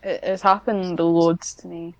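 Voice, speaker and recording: A woman's voice: on the low side, level tone, unhurried, dry recording, lo-fi sound. A woman chatting casually as a podcast guest, talking in a hesitant, self-deprecating way. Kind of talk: casual one-on-one interview chat.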